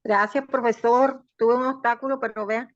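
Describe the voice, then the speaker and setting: A woman's voice: on the high side, steady tone, normal speed, no echo. A woman from Colombia, a student, speaking politely and in a friendly way with her teacher on a video call. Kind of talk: casual chat on a video call. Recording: dull, distant video-call audio.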